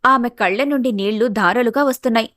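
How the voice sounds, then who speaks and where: High-pitched woman narrating a story, dry sound. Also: brisk, fairly bright tone, no room sound.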